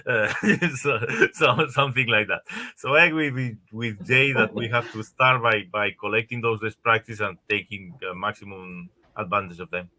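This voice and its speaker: A man answering questions as a panelist in an online webinar, halting and repeating words as he thinks. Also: lowish voice, steady tone, sharp tone. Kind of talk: answering questions on a video call. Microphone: video call.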